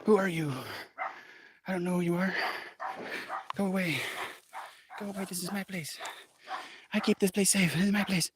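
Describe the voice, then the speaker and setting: A man's voice: sits high, steady tone, moderate pace, pauses often, background noise, voice pushed up, natural voice. Man voicing a wary, territorial little dog. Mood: suspicious. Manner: suspicious, territorial. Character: protective little female dog guarding home.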